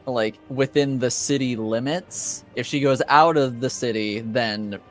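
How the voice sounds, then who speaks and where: A man running a tabletop game as game master, narrating casually and pausing mid-sentence as he works out rulings. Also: mid-range voice, faint music behind.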